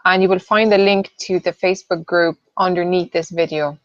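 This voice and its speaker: A woman in her late thirties from southern Sweden, co-hosting a webinar as a nutritional therapist and speaking casually with frequent ums and pauses. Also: on the low side, steady tone, no room sound. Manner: hesitant, many ums and "I suppose". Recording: dull, dry lo-fi audio.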